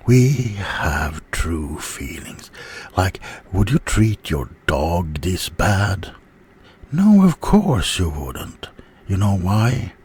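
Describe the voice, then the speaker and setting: A man performs a wounded, self-pitying werewolf in an ASMR mock interview. The voice sits very low and moves slowly, halting through grievances and often sinking into a whisper. A faint noise sits behind it. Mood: indignant, hurt. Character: offended, self-pitying male werewolf named Fred.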